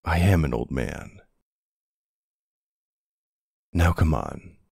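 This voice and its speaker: A man playing a tender, protective older ex-soldier boyfriend with a husky, gravelly voice in an intimate ASMR roleplay. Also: rumbling low, lively intonation, dry recording, clean studio sound, heavily acted. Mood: concerned, tender. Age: middle-aged or older character. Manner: affectionate teasing, hesitant pauses, soft reassurance.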